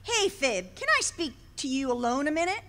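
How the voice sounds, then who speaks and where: A woman's voice: sits high, very animated, normal speed, sharp tone, exaggerated voice. A woman playing a brash, pushy sister. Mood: pitching. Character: brash wisecracking sister selling cards. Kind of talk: 1940s radio acting. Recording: live stage in a hall.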